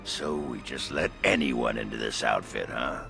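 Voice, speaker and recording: A reckless, boozy dwarf warrior from a fantasy game. The voice is rock-bottom deep and thick with gravel. He drawls slowly, gruff and crude, over music. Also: bright, forceful tone, exaggerated voice. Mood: gruff bravado. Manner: brash, gruff, mocking. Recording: music behind the voice.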